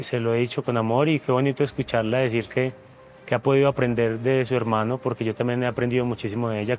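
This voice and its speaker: A man's voice: mid-range voice, darkish tone. Earnest man, reflective. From Venezuela, raised in Colombia. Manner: earnest and reflective, repeats words as he searches for them. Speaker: interview guest, former reality show contestant. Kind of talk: emotional sit-down interview.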